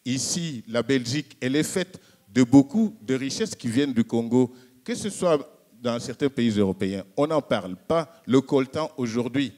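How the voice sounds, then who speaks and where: A man answering as a panel speaker in a public discussion, arguing in a halting way and repeating words. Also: medium pitch, lively intonation, darkish tone.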